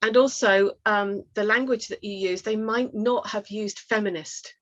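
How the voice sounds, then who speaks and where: A woman's voice: mid-range voice, fairly even intonation, normal speed, fairly bright tone. A woman on a webinar panel giving advice in a conversational way over a video call. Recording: slightly muffled video call audio.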